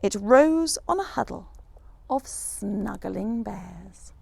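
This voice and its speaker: Woman author reading a kids rhyming story, really lively up and down pitch, bit of creak, dry close sound. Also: slow pace, dry recording. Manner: animated, rhythmic rhyming delivery. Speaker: author reading own picture book. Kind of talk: expressive children's storybook read-aloud.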